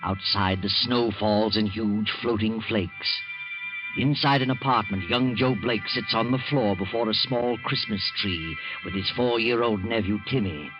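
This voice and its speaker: An actor playing a mysterious narrator who walks by night, telling a tale slowly and ominously in a 1940s radio drama. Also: male voice, lowish voice, close to an everyday voice. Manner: slow, ominous storyteller. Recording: dull old radio broadcast with music.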